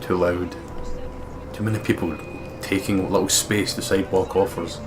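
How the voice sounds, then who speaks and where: Low-voiced man reading game narration. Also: little pitch movement, moderate pace. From United Kingdom. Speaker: let's play host. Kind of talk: reading game narration aloud with casual asides. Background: game music.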